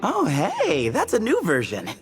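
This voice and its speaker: Breezy, very animated young guy. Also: high voice, a little slow, heavily acted. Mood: amused. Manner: casual, upbeat. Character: breezy, teasing, playful young man. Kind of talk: video game voice acting. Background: game music.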